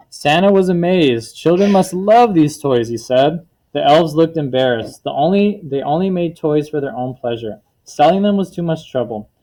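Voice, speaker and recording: A man's voice: fairly high pitch, warm tone, rough recording. A man teacher reading a picture book aloud to children, explaining words simply and checking understanding with questions. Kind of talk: read-aloud storytelling with questions to a class.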